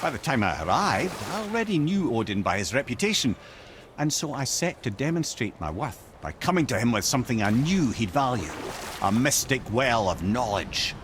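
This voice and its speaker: A man's voice: mid-range voice, very animated, moderate pace, noisy background, close to an everyday voice. An actor playing a witty, wry old Scottish counselor in a video game, eloquent and theatrical in his storytelling. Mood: storytelling, confiding. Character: witty, wry old counselor, a well-travelled talking head. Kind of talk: video game character dialogue.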